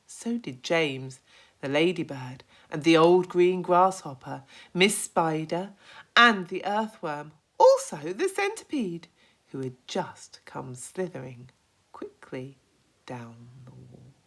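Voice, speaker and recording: Slow, expressive woman reading a storybook. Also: fairly low pitch. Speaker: teacher reading aloud to pupils. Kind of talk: expressive children's storybook narration.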